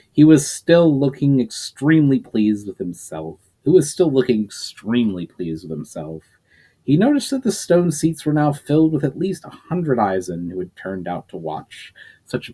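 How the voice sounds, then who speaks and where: A man who is the author reading his own novel aloud, narrating at a steady, unhurried pace with occasional slips. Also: medium pitch.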